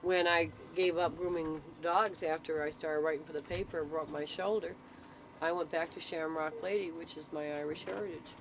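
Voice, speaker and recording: A woman's voice: fairly even intonation, normal speed. A middle-aged woman chatting casually, explaining things in an anecdotal storytelling way. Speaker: interviewee, CB club member. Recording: noisy amateur recording with vehicle rumble.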